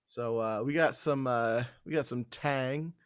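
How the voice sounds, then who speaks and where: A man's voice: mid-range voice, a little slow, clean tone, no echo, studio quality. A man hosting a casual podcast, swearing freely and laughing between stories. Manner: loose, swears a lot, laughs mid-sentence. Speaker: podcast host. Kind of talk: casual banter.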